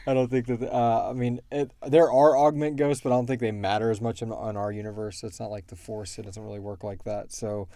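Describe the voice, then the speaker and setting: A man co-hosting a casual question-and-answer chat, thinking aloud and explaining things in a halting way. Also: fairly low pitch, little pitch movement, mellow, no echo. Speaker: podcast co-host and show creator answering fan questions.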